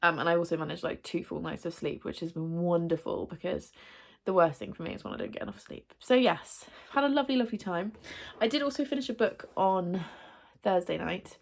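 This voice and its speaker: A woman's voice: lowish voice, brisk, vocal fry. A woman with a cold, chatting casually to camera in a rambling way full of fillers, sounding stuffy and coughing at times. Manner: chatty, rambling with many fillers and self-corrections. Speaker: book vlogger. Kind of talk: casual vlog chat to camera.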